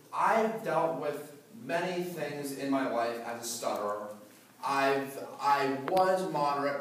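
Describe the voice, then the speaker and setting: A man's voice: mid-range voice, fairly bright tone, slight background noise. A teenage boy who stutters, giving a prepared talk to an audience, with repeated words and blocks. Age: teens. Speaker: student speaker. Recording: echoey hall, voice from far off.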